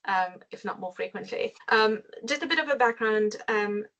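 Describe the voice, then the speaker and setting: A woman from Syria, a guest speaker giving a measured briefing over a video call, with frequent pauses. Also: mid-range voice, fairly bright tone, dull audio. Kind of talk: webinar briefing.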